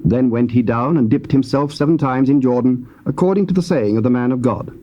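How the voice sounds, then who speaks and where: An English man reading Bible narration in a steady, formal way on a lo-fi tape. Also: medium pitch, brisk, slight background noise. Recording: dull lo-fi tape.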